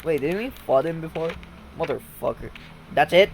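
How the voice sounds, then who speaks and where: A gamer from the Philippines giving crude, joking commentary over a game, swearing often and reacting loudly. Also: medium pitch, lively intonation, normal speed. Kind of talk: let's-play commentary. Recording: noisy background hiss.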